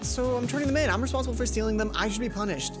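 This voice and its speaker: Woman putting on a high, pleading timid schoolgirl. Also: rapid-fire, loud music behind the voice, natural voice. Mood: anxious confession. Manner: stammering, pleading.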